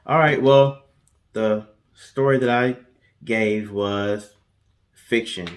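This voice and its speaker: A man's voice: on the low side, slow. A man co-hosting a storytelling guessing show in a casual, chatty way. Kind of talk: casual chat.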